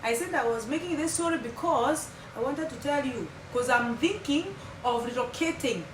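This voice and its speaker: A woman's voice: high-pitched, expressive, normal speed. A woman in her thirties from Kenya with a Kenyan accent, whose first language is Kimeru, telling her story to viewers in a chatty vlog and dropping Swahili phrases. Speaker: vlogger. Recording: echoey room from far off, loud background hum.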